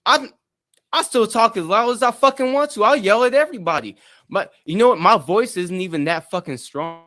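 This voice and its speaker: A man ranting loudly and profanely, taunting others and mocking how flat they sound. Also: a little fast. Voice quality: deep voice in his own view. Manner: profane, loud, taunting, mocks others' monotone. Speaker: streamer in a played clip. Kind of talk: angry rant.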